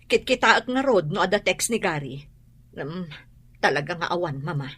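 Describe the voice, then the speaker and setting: A woman's voice: lowish voice, lively intonation, moderate pace, a bit bright, slightly rough. A woman playing a sullen, defensive grown daughter with a gambling habit in an Ilocano radio drama.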